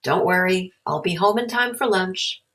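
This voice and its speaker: A woman's voice: lowish voice, expressive, normal speed, fairly bright tone. Woman reading as an earnest, determined young boy. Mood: eager. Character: young boy, eager and determined, storybook hero.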